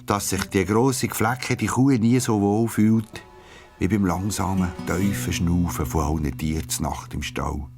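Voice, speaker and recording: A man's voice: sits low, darkish tone. A man with a Swiss German accent narrating a scripted story for children. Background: music, plucked guitar.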